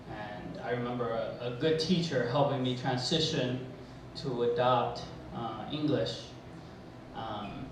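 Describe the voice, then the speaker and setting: A man's voice: mid-range voice, noisy background. Hesitant man with echoey hall sound. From Mexico. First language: Spanish. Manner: hesitant, many pauses and fillers, mixes in Spanish. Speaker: high school educator. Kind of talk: personal storytelling at a live event. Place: event hall. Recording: echoey hall, mic far off.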